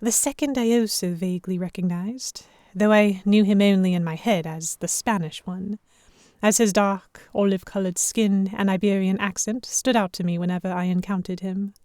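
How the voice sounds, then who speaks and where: Female narrator for a historical audio drama, medium pitch, scripted first-person delivery, dry sound. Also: fairly even intonation, normal speed, a little mellow, dry recording. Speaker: narrator and lead actor.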